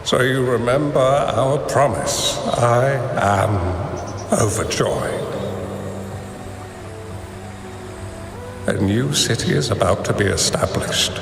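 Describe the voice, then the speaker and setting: An actor voicing a courteous, reflective old master in a game, speaking formally and with measured admiration. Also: male voice, mid-range voice, creaky. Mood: moved, earnest. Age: older. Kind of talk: dramatic game voice acting. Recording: echoey long reverb, music behind.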